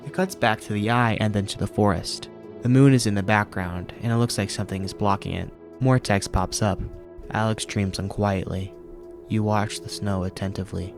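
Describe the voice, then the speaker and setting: Low-voiced man narrating over music. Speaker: YouTube host reviewing horror videos. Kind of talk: scripted narration and commentary.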